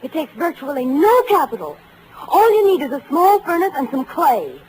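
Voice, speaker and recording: A faintly noisy vintage radio recording of a naive, devoted American secretary. Her voice is high and very lively, fussing with adoring earnestness. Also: normal speed. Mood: chirpy, adoring. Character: devoted, chirpy, naive female secretary to a detective. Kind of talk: 1940s American radio comedy-drama acting. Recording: old radio broadcast, faint noise.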